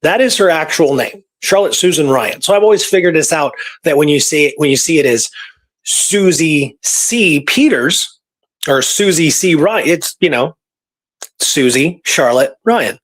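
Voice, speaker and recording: Higher-pitched man in casual history talk. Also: animated, unhurried, fairly bright tone. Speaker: podcast host.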